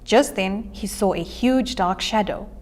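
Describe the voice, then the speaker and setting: A woman's voice: medium pitch, measured pace, darkish tone. A woman reading a children's storybook aloud. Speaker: story reader.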